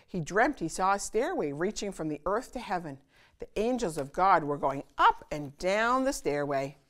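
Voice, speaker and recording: A woman hosting a children's story time, reading aloud and pausing to chat with and question her young listeners. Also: deep voice. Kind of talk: animated read-aloud of a picture book for children.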